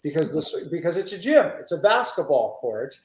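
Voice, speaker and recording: A man from the United States hosting a casual interview, telling stories in an animated, rambling way. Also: on the high side, fairly quick. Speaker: talk show host. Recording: roomy echo.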